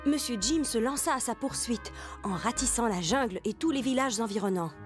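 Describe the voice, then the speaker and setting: A woman's voice: a little high, a little fast. A woman narrating a scripted children's cartoon story in French as its storyteller. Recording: loud music under the voice.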